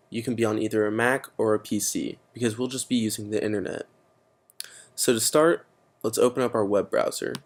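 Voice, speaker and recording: Low, gravelly-voiced teenage boy. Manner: casual, explanatory, with frequent uh and you know fillers. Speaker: tutorial presenter. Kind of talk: screen-recorded computer tutorial.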